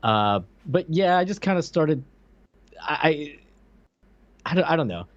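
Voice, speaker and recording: A man's voice: a little high, very animated, a little slow, no echo, highs cut off. A man in his forties co-hosting a podcast with casual, teasing, joking banter. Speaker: podcast co-host. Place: indoor room, on a video call.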